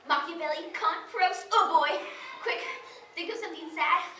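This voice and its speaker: A woman's voice: very high-pitched, normal speed, bright, forceful tone. A woman playing an earnest, dramatic young boy reading out a diary in a comic stage duo piece. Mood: nerdy, excitable. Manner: childish, emphatic diary recitation. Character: earnest, dramatic little boy growing into a nerdy eighth grader. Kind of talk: stage duo interpretation at a speech showcase. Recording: echoey, distant camera, faint room noise.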